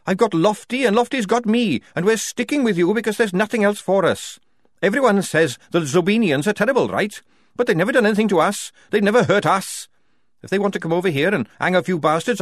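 A woman's voice: medium pitch, animated, brisk, dry recording, studio quality, voice pushed up, natural voice. A man voicing an angry, defiant young female soldier who growls her bitter outbursts. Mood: furious.

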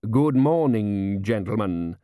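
A man voicing a coolly ruthless desert warrior with formal, measured, deadpan courtesy in an audiobook. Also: lowish voice, smooth, no echo, studio quality. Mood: calm menace.

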